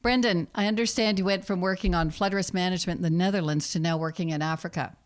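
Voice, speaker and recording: A woman's voice: on the low side, steady tone, quick pace, dry recording, studio quality. A woman hosting a podcast interview, introducing guests and asking questions in a composed, scripted manner. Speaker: podcast host.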